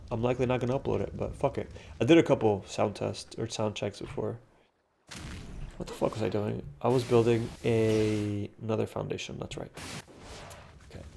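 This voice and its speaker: A man's voice: on the low side, vocal fry, warm tone, slight background noise. A young man with a stuffy, congested nose, casually commentating a solo game session, rambling and swearing with lots of fillers and restarts. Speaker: gaming commentator. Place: home room.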